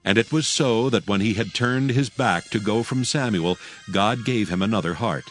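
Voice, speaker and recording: A man narrating dramatized scripture as a solemn, measured storyteller. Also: lowish voice, soft background music.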